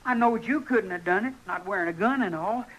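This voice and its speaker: A man's voice: high-pitched, a little fast, a bit bright, low-quality recording. A male actor playing a brash, stubborn teenage would-be gunfighter with a rural Western drawl in an old-time radio western. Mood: boastful. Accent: rural American Western drawl. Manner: defiant, unschooled country speech. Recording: vintage radio broadcast.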